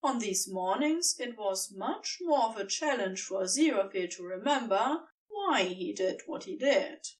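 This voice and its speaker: Lady narrating a story, slow and really animated, sounds a bit away from the mic. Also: medium pitch, far from the mic.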